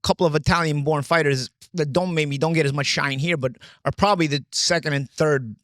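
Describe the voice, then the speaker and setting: A man in an animated sports discussion, emphatic and repeating himself, often saying "you know". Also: on the high side, a little fast, no room sound, clean studio sound. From New York, USA. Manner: emphatic, repetitive, peppers talk with "you know". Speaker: boxing show panelist.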